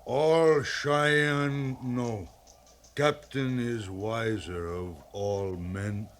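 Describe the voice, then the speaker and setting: A dignified, stubborn Cheyenne war chief in an old radio western. He speaks extremely slowly, in short, halting, broken phrases, each one weighted with pride. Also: medium pitch, fairly even intonation. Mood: stately, accusing. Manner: slow, halting broken speech. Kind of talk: 1950s radio western acting.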